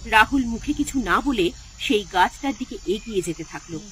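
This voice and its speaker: A woman's voice: mid-range voice, expressive, moderate pace, rough recording. A woman narrator reading a scripted horror story in a dramatic style.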